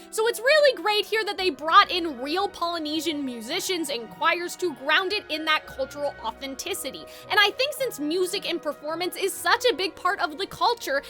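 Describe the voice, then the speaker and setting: A woman's voice: very high-pitched, sharp tone. A woman giving opinionated, conversational video essay commentary as the host. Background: background music.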